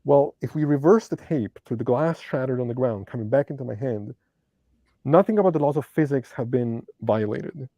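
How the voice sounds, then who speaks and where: A man speaks English as a second language in a gravelly, mellow voice. He talks slowly and thoughtfully, pausing with frequent ums as he searches for the right word. The sound is dry and close. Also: medium pitch, lively intonation, dry recording, muffled recording. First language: not English. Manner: hesitant, searching for words, many ums. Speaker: debate guest. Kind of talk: casual philosophical discussion.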